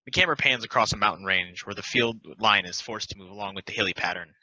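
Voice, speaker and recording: A man's voice: fairly low pitch, quick pace, pushed and bright, no room sound. A man reading scripted stage directions as a narrator in a table read. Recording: dull, dry lo-fi microphone.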